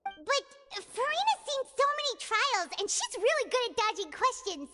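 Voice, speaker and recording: A woman's voice: very high voice, very animated, moderate pace, over loud music. A woman voicing a tiny, childlike, inquisitive fairy companion in a video game, chirpy and exclaiming. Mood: curious, doubtful.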